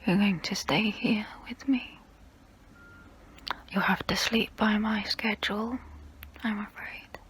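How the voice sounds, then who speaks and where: A woman playing a guilty, melancholy centuries-old vampire in an ASMR role play, whispering in a slow, hesitant, wistful way with formal phrasing. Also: medium pitch, fairly even intonation, a bit bright, a little hiss or hum. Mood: gentle, ominous. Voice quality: breathy whisper.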